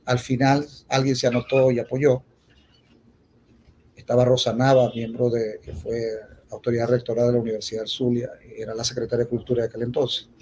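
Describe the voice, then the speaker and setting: A Venezuelan man giving an unscripted public talk, reminiscing in a halting way and restating points with emphasis. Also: medium pitch, little pitch movement, a little mellow, a little hiss or hum, poor audio. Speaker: poet speaking at a poetry festival.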